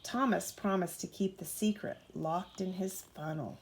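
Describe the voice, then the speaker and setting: Female storyteller for children's bedtime book, unhurried and expressive, motherly role. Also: lowish voice. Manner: expressive, acts out character dialogue. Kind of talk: children's storybook read aloud.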